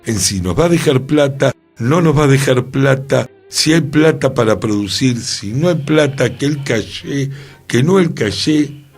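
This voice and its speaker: Husky slow-talking Argentine man. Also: mid-range voice, lively intonation, soft background music. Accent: Rioplatense Spanish. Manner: thoughtful, questioning, emphatic. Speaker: radio show co-host. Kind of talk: reflective radio conversation. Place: radio studio.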